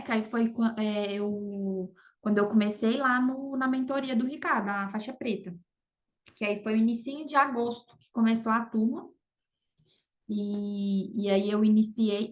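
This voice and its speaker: A Brazilian woman speaking Portuguese as a podcast guest, telling her story casually and often seeking agreement with little tag questions. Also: on the high side. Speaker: podcast guest, affiliate marketer. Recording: dull lo-fi audio.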